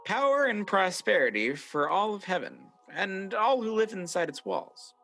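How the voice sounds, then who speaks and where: An actor playing a proud, scheming angel of light in a radio play, speaking in a smooth, grandiose, theatrical way. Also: male voice, high voice, very animated, moderate pace, a bit bright, full character voice. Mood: smooth, persuasive. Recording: radio play recorded over video call, music behind.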